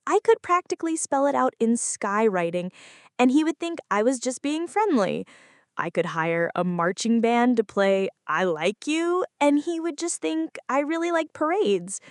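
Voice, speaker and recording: A woman playing a flirty, anxious female friend in an intimate ASMR roleplay, speaking softly and dramatically, close to the listener. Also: fairly high pitch, very animated, normal speed, no echo. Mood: teasing, flirty. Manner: soft, close, dramatic pleading and confiding.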